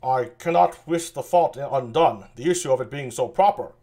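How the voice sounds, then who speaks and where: A man voicing a loyal older lord in a play reading, polite and measured. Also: medium pitch, warm tone, lightly acted. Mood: courteous.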